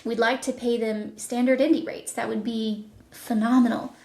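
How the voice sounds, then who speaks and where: A woman speaking as an interview guest and producer, pitching a project conversationally with enthusiasm and thoughtful pauses. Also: steady tone, darkish tone. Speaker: podcast guest, audio drama producer. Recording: echoey, roomy sound.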